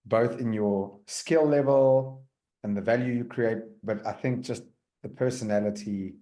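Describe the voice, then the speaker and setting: Man, interviewer, casual chat, appreciative tone, mid pitch. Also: unhurried, mellow, low-quality recording. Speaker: interviewer, host.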